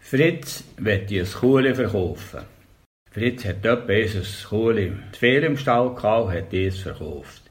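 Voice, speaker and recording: A man's voice: on the low side, lively intonation, a little slow. Older man with a Bernese Oberland accent. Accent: Bernese German, Bernese Oberland. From Bernese Oberland, Switzerland. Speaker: storyteller. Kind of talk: reading memoirs and dialect tales.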